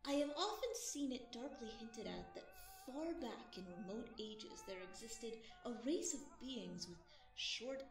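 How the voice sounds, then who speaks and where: A bold, curious rich boy with a somewhat high voice and old-fashioned formal phrasing. The voice sits back from the mic in a roomy space, with music playing clearly underneath. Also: expressive, measured pace, hardly any pauses. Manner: eager, archaic formal speech. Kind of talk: audio drama acting. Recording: roomy reverb, distant from mic, music bed.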